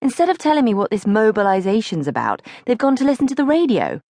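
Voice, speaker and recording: A woman's voice: fairly high pitch, very animated, quick pace, a little mellow, no echo, clean studio sound. A woman voicing an anxious, inquisitive eight-year-old girl in an audiobook diary, plaintive and full of questions. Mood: indignant, puzzled. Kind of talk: acted audiobook diary reading.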